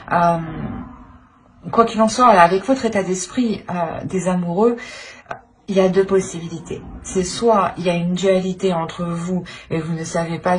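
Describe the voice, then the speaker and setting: A woman giving an informal tarot reading, speaking haltingly as she searches for words and often clears her throat. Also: fairly low pitch, slight background noise. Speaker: tarot reader.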